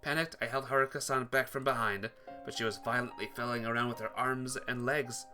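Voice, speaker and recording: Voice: medium pitch, steady tone, brisk, music in the background. A playthrough host reading visual novel dialogue aloud with casual commentary, slipping into character voices along the way.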